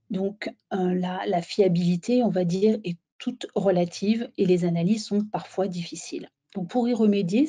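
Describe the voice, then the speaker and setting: A woman presenting a software webinar in an explanatory, somewhat halting way, as if walking listeners through slides. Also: mid-range voice, slightly rough.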